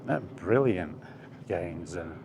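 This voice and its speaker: A man's voice: sits low, animated, slow pace, creaky. A man rambling casually and easygoingly to himself as a vlogger while out walking, musing with trailing asides. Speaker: solo vlogger. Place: outdoors, walking along streets or paths. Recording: noisy outdoor recording with environmental sounds.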